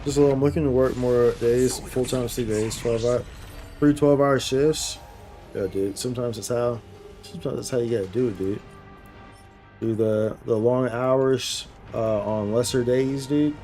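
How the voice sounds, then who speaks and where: A man's voice: medium pitch, steady tone, moderate pace, mellow, soft background music. A man chatting casually and slangily as he streams games, often repeating phrases for emphasis. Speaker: game streamer, host. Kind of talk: casual livestream chat while gaming.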